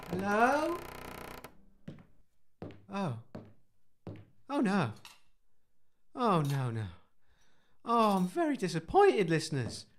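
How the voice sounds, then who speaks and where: An actor playing a timid, fussy, kind-hearted radio host in an audio comedy, hesitant and stammering. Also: male voice, high voice, slight background noise, full character voice.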